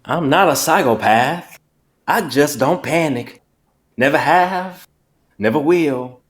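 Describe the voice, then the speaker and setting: A man's voice: on the high side, animated, slow pace, voice pushed up. Man putting on a creepy drawling fatalist. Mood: cold, calm. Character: unflappable, creepy country man, coldly fatalistic.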